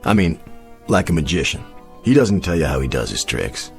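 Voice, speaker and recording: An actor playing a calm, mysterious frontier huckster with an American accent and a sly, matter-of-fact manner in an audio drama. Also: male voice, extremely low pitch, moderate pace, vocal fry, faint music behind, exaggerated voice. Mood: slyly assured. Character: unhurried, mysterious frontier travelling huckster, blandly assured. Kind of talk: audio drama Western acting.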